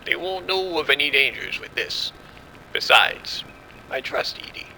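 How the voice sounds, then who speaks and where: Confident male scientist played by a male actor for audio drama; measured, explanatory, very sharp and forceful, faint ambient noise. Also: fairly high pitch, expressive, vocal fry, full character voice, low-quality recording. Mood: assured, proud. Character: confident male scientist running a secret military experiment.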